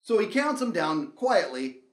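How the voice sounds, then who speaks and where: A middle-aged man with a fairly high, very lively voice rambles through a comedic personal story. He is casual and animated, acting out the people and reactions as he tells it. The sound is noticeably roomy and echoey. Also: a little slow. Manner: animated, acts out dialogue and reactions. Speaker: storyteller and show host.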